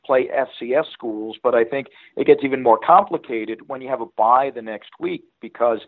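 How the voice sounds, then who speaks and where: Male sports interview guest, medium pitch, conversational, on a narrow phone line. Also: moderate pace. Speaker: radio play-by-play announcer, interview guest. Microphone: phone call.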